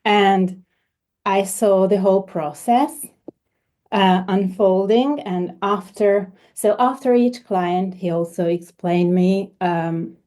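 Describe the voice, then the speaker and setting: A woman from Hungary, a homeopath guest speaking in a relaxed interview, hesitant and often repeating words as she thinks. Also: mid-range voice, slow. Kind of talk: conversational interview.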